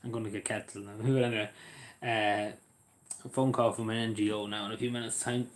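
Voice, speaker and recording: A man's voice: lowish voice, level tone, fast. A man chatting casually on a livestream, rambling and hesitant with lots of fillers.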